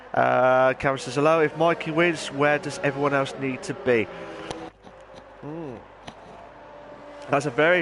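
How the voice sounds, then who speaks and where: A man hosting and commentating an online racing league, his voice hoarse and nearly gone, talking in an excitable, chatty way. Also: a little high, noisy background. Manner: excitable, shouting during qualifying, then calm chatty standings rundown. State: hoarse, lost voice. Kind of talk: live esports race commentary.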